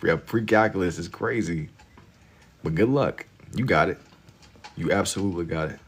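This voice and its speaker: A man in his twenties hosting a livestream, chatting casually with viewers in laid-back slang and getting loud and laughing when teased. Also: sits low, normal speed, husky. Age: 20s. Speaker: livestream host. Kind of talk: casual chat with viewers while drawing.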